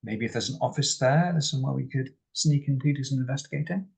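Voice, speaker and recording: A man playing a reserved, baffled, dry-witted character in a tabletop game, halting and polite with a gravelly, husky voice. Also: medium pitch, darkish tone. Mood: dry, tentative.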